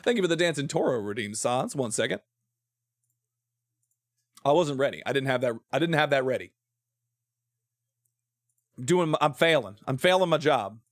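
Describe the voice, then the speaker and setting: A man's voice: medium pitch, animated, normal speed, long pauses, no room sound, studio quality. An American man chatting casually while he plays on a livestream, thinking aloud in a rambling way. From southern-adjacent United States. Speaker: game streamer. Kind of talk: casual livestream chat while playing a building game.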